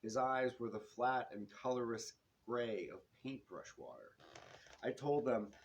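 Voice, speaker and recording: A man reading his own detective novel to listeners, with expressive narration and the odd stumble. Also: medium pitch, unhurried. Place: public reading in a bookstore. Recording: noisy live room recording.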